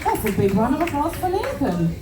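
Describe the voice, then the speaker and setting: A woman's voice: high-pitched, huge pitch swings, background noise. Upbeat woman hosting a stage game show. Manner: brisk, upbeat, prompting contestants and audience. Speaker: game show host on a cruise ship. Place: large ship theater with live audience. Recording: echoey theater picked up from far off. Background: audience chatter and applause.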